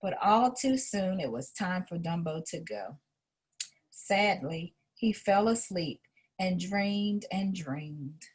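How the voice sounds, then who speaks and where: A woman reading a children's story aloud in an expressive way, as an educator would to a young listener. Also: lowish voice, slow, slightly rough.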